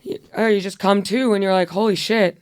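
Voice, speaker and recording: A young woman from Buffalo sits at a close studio mic and tells it straight, rambling and swearing freely. Her voice is smooth and resonant even as she says it is going. The sound is dry, with no room around it. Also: mid-range voice, fairly quick, no room sound. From Buffalo, New York. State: losing her voice. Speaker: guest comedian. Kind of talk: casual podcast chat.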